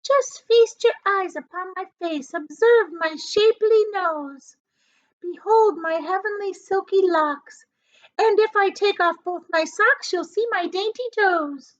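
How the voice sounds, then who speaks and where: Storybook cruel fat aunt, grandiose and boastful, very high swooping voice, slightly dull recording. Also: female voice, a little slow. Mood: vain, preening. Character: vain, hideous fat aunt, bossy and cruel.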